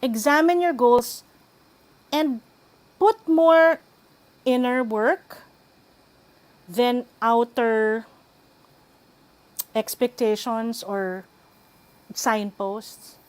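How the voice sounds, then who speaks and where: A woman with a Filipino accent speaks slowly and deliberately, with a slightly higher voice than most women. She gives thoughtful wellbeing advice, mixing English with Tagalog and pausing to find her words. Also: poor audio. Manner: unhurried, mixes English with Tagalog, thoughtful pauses. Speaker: wellbeing coach guest. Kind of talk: radio interview, reflective advice.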